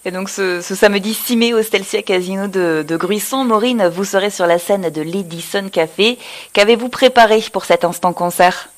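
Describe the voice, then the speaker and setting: A woman radio host introducing and interviewing a guest in a clear, polished announcer's delivery. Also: mid-range voice, normal speed.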